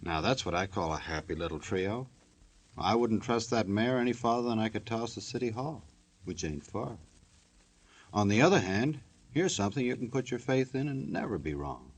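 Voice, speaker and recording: A wry, folksy male narrator from 1950s radio drama. His voice is very low and husky, swinging widely in pitch as he jokes his way conversationally through the story. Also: lively intonation, normal speed.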